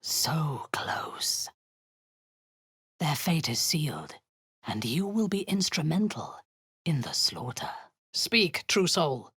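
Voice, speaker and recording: A woman's voice: deep voice, very animated, slow pace, long pauses, a bit bright, breathy edge, no room sound, clean studio sound, full character voice. A woman voicing a cruel, zealous drow warlord in a video game, giving cold commands that often sink into a menacing whisper.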